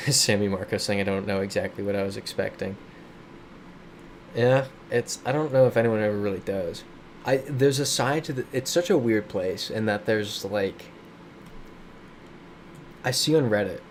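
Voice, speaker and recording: Streamer, male, lowish voice, chatty and riffing on chat; faint air-conditioner hum behind. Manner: chatty, reads viewer comments aloud and riffs on them. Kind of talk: casual live-stream chat while playing a geography game. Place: his home in a big city.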